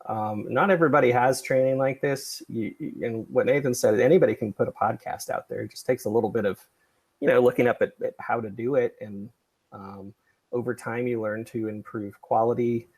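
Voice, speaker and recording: Gravelly, husky man chatting casually. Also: medium pitch, steady tone, fairly quick, darkish tone, low-quality recording. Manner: rambling, digressive, self-effacing. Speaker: podcast creator and livestream host. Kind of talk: casual livestream chat.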